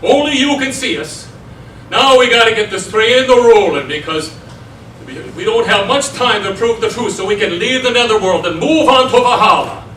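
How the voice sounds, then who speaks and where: A man's voice: high voice, expressive, fairly bright tone, a little hiss or hum. A man playing the folksy, jovial ghost of an old man who presides over a debate on stage. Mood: folksy, commanding. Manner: folksy, unhurried announcer-like introductions. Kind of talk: stage musical theatre dialogue. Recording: roomy distant stage recording, music behind.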